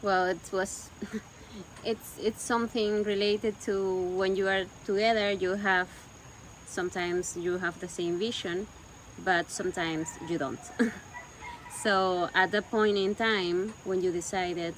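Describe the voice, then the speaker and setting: A woman's voice: mid-range voice, steady tone, takes it slowly, low-quality recording. Woman, casual home talk, faint noise behind her. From Peru. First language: Spanish. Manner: searching for words, repeating herself. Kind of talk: casual conversation.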